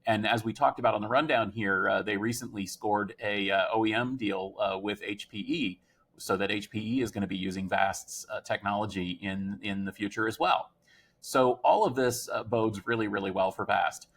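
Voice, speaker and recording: Male analyst, gravelly vocal fry, off-the-cuff commentary, halting delivery with frequent pauses. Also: on the low side, a bit bright. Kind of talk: unscripted commentary.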